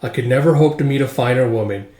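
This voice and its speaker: A man's voice: mid-range voice, fast talker, warm tone, natural voice. A man voicing a weary fighter who speaks solemnly and from the heart in a comic read-along. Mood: solemn, tender. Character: weary, sincere fighter man looking back on life. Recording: roomy, echoing sound.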